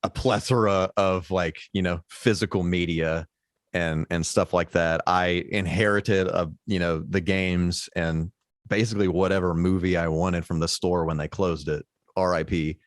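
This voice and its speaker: A man with a low baritone voice talks as a guest on a casual podcast. He rambles with plenty of fillers and restarts. His voice sits low, and the recording is dry, with no room sound. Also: steady tone, normal speed, no echo. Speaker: guest musician.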